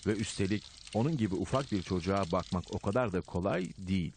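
Low-voiced cautious cartoon rabbit dad. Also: male voice, fast talker, darkish tone, slight background noise. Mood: worried, reasoning. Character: cautious, protective father rabbit, middle-aged, caring. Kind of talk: dubbed children's cartoon acting.

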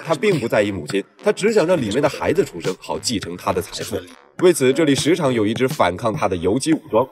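Male narrator, scripted film recap, quick pace, lively swinging intonation, mid pitch, background voices behind.

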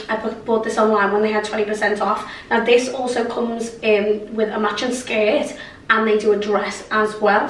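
A young woman, hoarse from a cold, chatting through a clothing haul with plenty of likes and ums. She is recorded from across a bright room, so her voice sounds distant and echoey. A faint hiss sits under her talk. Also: mid-range voice. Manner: chatty, many fillers and likes. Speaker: fashion vlogger. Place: bright bedroom with clothes rail. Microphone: camera mic from across the room. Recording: roomy echo, mic at a distance.

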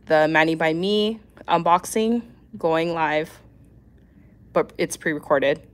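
A woman hosting a weekly nail art livestream, nasal and congested from a cold, with a scratchy voice, chatting casually and clearing her throat now and then. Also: low voice, takes it slowly.

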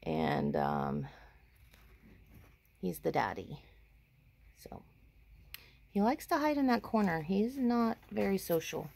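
A woman's voice: lowish voice, huge pitch swings, slow, vocal fry, long pauses, a little mellow, husky. A woman casually narrating a walk around her farm on a phone camera, chatty and cooing sweetly to her animals. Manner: chatty, talks sweetly to animals, unsure of breeds. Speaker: vlogger touring her farm. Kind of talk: casual walking tour narration. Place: outdoors on a farm among animals. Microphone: phone camera held while walking.

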